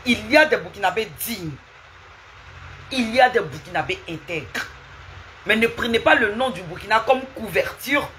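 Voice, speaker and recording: A woman's voice: mid-range voice, animated, normal speed, fairly bright tone, a little hiss or hum, low-quality recording. A woman live streaming, lecturing and scolding someone with repeated rhetorical questions and asking viewers for hearts.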